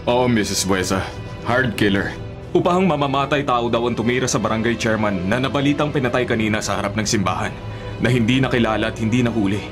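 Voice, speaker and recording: A man's voice: medium pitch, fast talker, close to an everyday voice. An actor playing men in a radio melodrama, such as a village chief and an obedient henchman. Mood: obedient, conspiratorial. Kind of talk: melodramatic Filipino radio drama acting. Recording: music and vehicle sounds behind.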